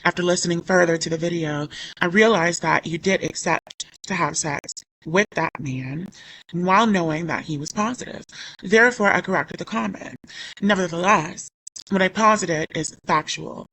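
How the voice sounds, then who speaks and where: A woman talks through a commentary vlog in a low-set but bright, forward voice. She is still recovering from losing her voice. She sounds indignant and rambles, restarting her sentences as she reads her own comments aloud. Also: moderate pace, slight background noise, rough recording. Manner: indignant, rambling with self-corrections. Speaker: YouTuber.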